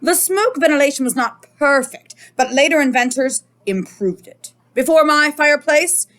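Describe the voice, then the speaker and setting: Lady playing a boastful old inventor guy from a kids book, highish voice, really animated, full of himself. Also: normal speed. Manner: self-congratulating, theatrical. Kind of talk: children's picture book read-aloud.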